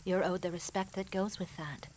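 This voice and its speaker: A woman playing a grave mystic advisor in a fantasy audio drama, speaking formally, in an earnest and measured way. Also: fairly low pitch, fairly even intonation, darkish tone, a little hiss or hum, close to an everyday voice.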